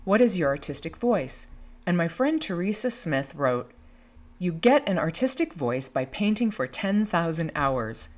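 A woman's voice: fairly low pitch, very animated, measured pace. A woman in her fifties hosting a podcast, speaking reflectively and reading quotations aloud from a script. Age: 50s. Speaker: podcast host.

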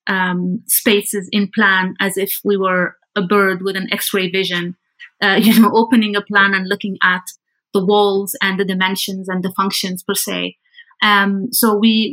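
A woman's voice: mid-range voice, a bit bright, poor audio. A woman from Lebanon speaking as an architect guest in an interview, thoughtful and conversational, feeling out her phrasing as she goes.

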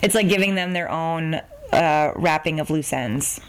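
A woman's voice: low-pitched, unhurried. A woman hosting a podcast, interviewing an author in a friendly, appreciative, casual way.

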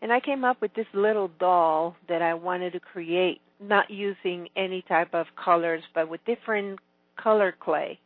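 A woman's voice: fairly low pitch, moderate pace, dry recording. A middle-aged woman from Venezuela, a Spanish speaker, chatting as an author guest in a phone interview and restarting often. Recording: tinny phone line.

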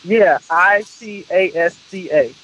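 High-voiced man on a tinny phone line. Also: fairly bright tone, a little hiss or hum. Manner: halting, repeats words. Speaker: church contact receiving a call.

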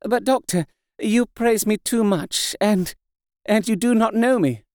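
A man voicing a demure young married woman in an audiobook, speaking modestly, gently and politely. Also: high voice, unhurried, clean tone, dry recording, clean studio sound, pitched above the performer's own voice.

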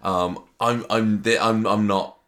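A very deep-voiced man with a sore, croaky throat talks through the week's soap storylines. He speaks casually, stumbling and restarting often. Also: fairly even intonation, fairly bright tone. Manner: mocking impressions of characters, halting restarts. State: sore throat, losing his voice. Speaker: soap opera podcast host. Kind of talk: casual recap chat.

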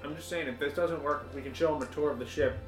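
A man's voice: on the high side, fairly even intonation, fast. A man bantering as a player in a tabletop game, crude and sarcastic, in a roomy recording. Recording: roomy echo with faint music.

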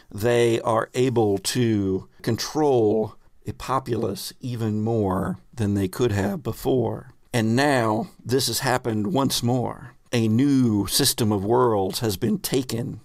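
A man's voice: mid-range voice, dry recording, clean studio sound. A man hosting and narrating a tabletop roleplaying game as game master, with casual improvised phrasing and dramatic storytelling emphasis. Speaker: podcast host and game master.

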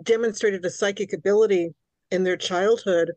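A woman's voice: medium pitch, moderate pace, no echo. Woman in her sixties, dry close voice. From New York City, Queens. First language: Hungarian. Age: 60s. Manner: animated, repeats words when starting thoughts. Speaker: guest author. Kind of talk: conversational podcast interview.

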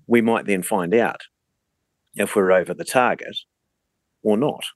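A man speaks as an interview guest. He explains things in measured, deliberate phrases, and the sound is dry with hardly any room to it. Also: fairly low pitch, no echo.

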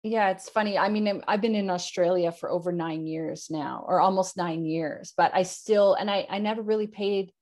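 Lower-voiced Canadian woman hosting. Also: normal speed, few pauses, a little mellow. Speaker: podcast host. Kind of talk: conversational interview.

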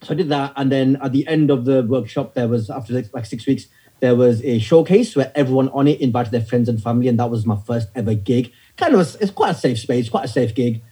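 A comedian in his thirties with a northern English accent, telling stories in a relaxed interview with wry, self-deprecating humor. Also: mid-range voice, quick pace, husky. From north of England. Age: 30s. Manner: wry storyteller, self-deprecating, many fillers. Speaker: stand-up comedian guest. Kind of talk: casual interview chat.

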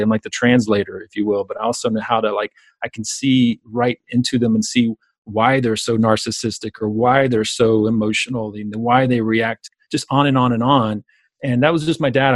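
A man's voice: medium pitch, dry recording. A man with a Southern US accent talking conversationally in an interview, telling stories as a coach and stressing points by repeating phrases. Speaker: business coach, speaker and author being interviewed.

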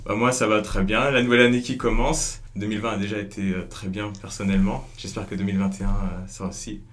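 Low-voiced French man hosting a podcast. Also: quick pace. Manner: relaxed, hesitant with fillers. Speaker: podcast host. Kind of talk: casual interview.

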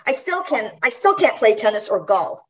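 A woman's voice: on the high side, very animated, normal speed, a crowd in the background. A woman in her fifties from Guam, chatting casually as an interview guest, laughing often and restarting her sentences. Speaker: guest athlete.

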